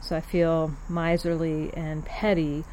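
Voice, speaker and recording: A middle-aged woman hosting her own podcast, talking in a relaxed, rambling way with soft whispered asides. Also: low voice, a little slow, a little hiss or hum, rough recording. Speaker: podcast host. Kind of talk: casual chatty monologue.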